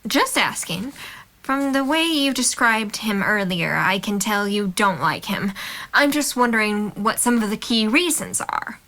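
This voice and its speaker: A woman's voice: medium pitch, lively intonation, a little slow, sharp tone. A woman acting an outgoing, curious young college student in an audio drama, playful, breezy and direct. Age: young adult.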